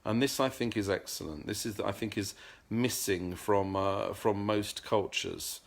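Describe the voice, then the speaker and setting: Deep gravelly man, earnest and halting. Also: fairly even intonation. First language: English. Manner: earnest, repeats words while searching for them. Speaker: vlogger. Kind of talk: heartfelt casual monologue.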